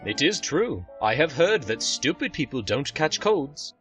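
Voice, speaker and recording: A man plays a commanding young prince in a fantasy audio drama. His speech is formal and forceful, with lively swings in pitch and light music beneath. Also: measured pace. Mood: exasperated. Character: proud, exasperated young male prince and commander. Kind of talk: fan audio drama acting.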